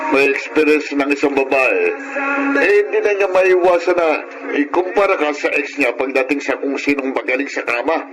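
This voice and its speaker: A man's voice: fairly high pitch, normal speed, music in the background, lightly acted. A male actor playing a cocky, chauvinistic young drinking buddy in a radio drama, with teasing, opinionated banter. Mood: smug, opinionated. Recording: noisy radio drama audio.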